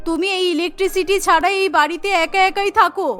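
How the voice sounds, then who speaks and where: A woman's voice: very high-pitched, fairly even intonation, fast, fairly bright tone, soft background music. A woman voicing an upset, defiant mother-in-law in a cartoon story. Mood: tearful defiance.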